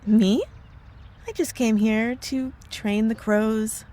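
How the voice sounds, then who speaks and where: A woman playing a possessive witch girlfriend in an ASMR roleplay, cooing and teasing with cutesy sweetness and quiet menace. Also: fairly high pitch, fairly even intonation, slow. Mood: cooing, sweet. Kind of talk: ASMR roleplay audio drama.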